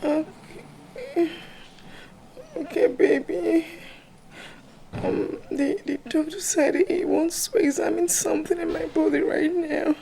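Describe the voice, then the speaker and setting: A woman's voice: very high voice, slow, pauses often, mellow, full character voice, poor audio. A woman playing a wheedling young girlfriend with a Nigerian accent, coaxing with endearments on a call. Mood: pitiful wheedling. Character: wheedling young girlfriend conning lovers for money. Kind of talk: acted comedy-drama phone call. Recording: clear background ambient noise.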